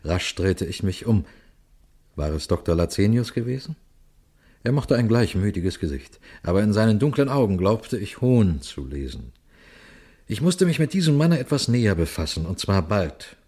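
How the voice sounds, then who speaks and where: Police detective, low pitch, measured, formal, sober, watchful, radio crime drama. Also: male voice, sing-song, mellow, husky, exaggerated voice. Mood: tense, observant. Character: sober, watchful male police detective narrating his case. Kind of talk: radio crime drama, suspenseful first-person narration and dialogue.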